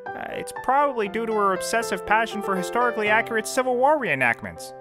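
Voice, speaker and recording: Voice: medium pitch, very animated, fast, over music, natural voice. An actor in a scripted comedy playing a brash, hapless private detective who rambles and corrects himself. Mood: feigned gravity. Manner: rambling, self-correcting, emphatic. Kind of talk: scripted audio comedy acting.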